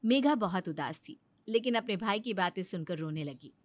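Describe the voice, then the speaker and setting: A woman narrates a scripted moral story at a medium pitch. The recording is dry and close, with no room sound. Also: animated, moderate pace, no echo.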